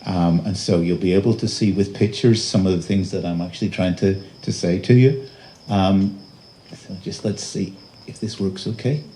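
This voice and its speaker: A man giving a public lecture as an invited speaker, presenting slides in a halting, pausing delivery. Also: deep voice, mellow. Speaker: invited conference speaker, robotics researcher. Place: live talk at a festival venue. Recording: noisy live event recording.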